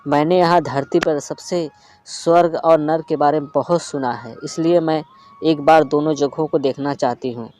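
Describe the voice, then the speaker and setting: A man voicing a kind, curious elderly woman who asks her questions gently. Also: on the high side, fairly even intonation, normal speed, close to an everyday voice. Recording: faint flute music behind.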